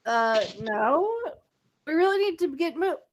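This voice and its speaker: A woman's voice: high-pitched, expressive, unhurried, slight background noise. A woman voicing an impatient teenage wizard boy in an amateur audio drama, urgent and exasperated.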